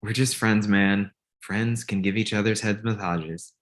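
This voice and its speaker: A man's voice: on the low side, fairly even intonation, measured pace, lightly acted. A man playing a blunt, condescending and coolly antagonistic friend in a naturalistic comedy table read. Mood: cool, dismissive. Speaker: actor in a screenplay table read.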